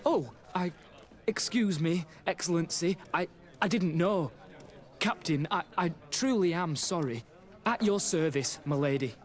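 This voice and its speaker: Brief: green young army recruit, higher voice, flustered, stammering, eager to please, game dialogue. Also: male voice, lively intonation, measured pace. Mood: flustered, apologetic. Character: nervous, deferential young male army recruit. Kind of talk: video game character acting.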